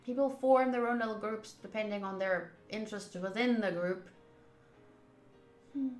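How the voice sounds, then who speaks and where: A woman streamer doing a game playthrough, reading the text aloud and commenting in an expressive, emphatic way. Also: medium pitch, normal speed. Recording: roomy echo, game music behind. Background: soft game music.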